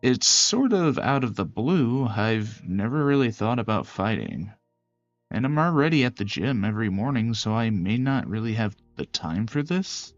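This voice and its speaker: Man acting a measured young prosecutor, dull sound. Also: lowish voice, big pitch swings, no room sound, faint music behind. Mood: hesitant. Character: John Rockford, polite, reserved young prosecutor, male. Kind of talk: visual novel character voice acting.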